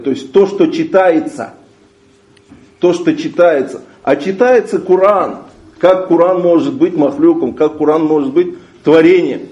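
Male lecturer, mellow higher voice, unhurried line-by-line commentary, muffled roomy recording. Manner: reads Arabic passages then explains in Russian, self-correcting restarts. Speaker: religious lecturer. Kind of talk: text commentary lesson. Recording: dull echoey room recording.

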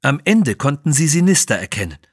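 A male narrator tells a children's adventure tale with dramatic, very animated intonation. His middle-pitched voice has a husky grain and is recorded dry. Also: fairly quick, no echo. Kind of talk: scripted dramatic storytelling for children.

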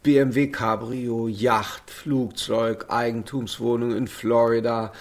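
A man's voice: mid-range voice, flat delivery, slow, close to an everyday voice. An actor playing a lonely, tipsy middle-aged father in a radio drama, wry and bitter, with a rambling, self-mocking way of talking. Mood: wry, resigned. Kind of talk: naturalistic radio drama acting.

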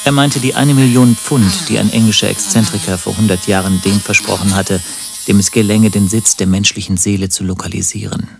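An actor playing a wry, composed first-person narrator in a German radio play, measured and deadpan. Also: male voice, lowish voice, creaky, crowd noise.

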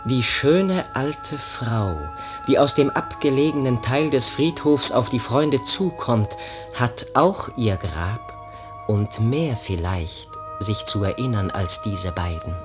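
A man giving measured, scripted literary narration for a radio play. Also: mid-range voice, expressive. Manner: measured, deliberate. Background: music.

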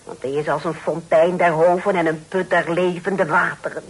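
An actress with a Netherlands Dutch accent playing a stern, pious older aunt who declaims sanctimoniously in a radio drama. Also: female voice, on the low side, fairly even intonation, moderate pace, breathy edge. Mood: stern, probing. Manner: sanctimonious, declaiming in biblical phrases.

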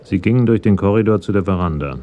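A man's voice: low-pitched, fast talker, dull audio, a little hiss or hum. A man narrating a scripted radio crime drama in a matter-of-fact manner.

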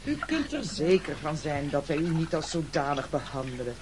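A smug castaway chief played by a woman in a radio play, bossy under a show of courtesy. The low voice moves in big, oily swings, formal and patronizing. Noise is clearly audible on the recording. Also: very animated, moderate pace. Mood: reassuring, unctuous. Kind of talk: theatrical radio play acting.

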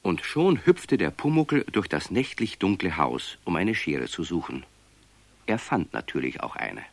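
A man narrating a children's radio play as an expressive storyteller, reading from a script. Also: low-pitched, unhurried, vocal fry, no echo, heavily acted.

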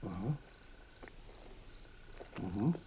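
An actor playing a sardonic, fatalistic village muleteer in a radio play, speaking in a wry, cryptic way. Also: male voice, fairly low pitch, expressive, mellow, a little hiss or hum. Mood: wry, evasive. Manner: wry, cryptic, drawn-out interjections. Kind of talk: German radio play acting.

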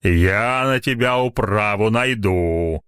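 A man voicing a rude, brusque male caller in a story, speaking in a gruff, unceremonious and menacing manner. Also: mid-range voice, unhurried, fairly bright tone, no echo, studio quality, close to an everyday voice. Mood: rude, threatening.

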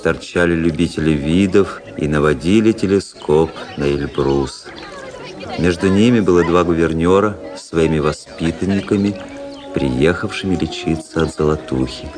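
An actor playing a cool, ironic young Russian officer who narrates his memoirs in a measured, detached way in a radio drama. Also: a bass voice, crowd noise. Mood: detached, observant. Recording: radio play with prominent music behind. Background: music.

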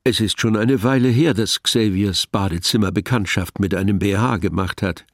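A man's voice: fairly low pitch, moderate pace, no room sound, clean studio sound. A man giving scripted literary narration in an audio production. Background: music.

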